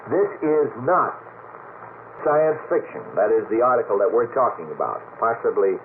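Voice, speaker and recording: A man hosting a late-night radio panel discussion, speaking in a deliberate, emphatic way and repeating words for stress. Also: medium pitch, very animated. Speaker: radio talk show host. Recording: noisy narrow-band vintage radio recording. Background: clear hiss and noise.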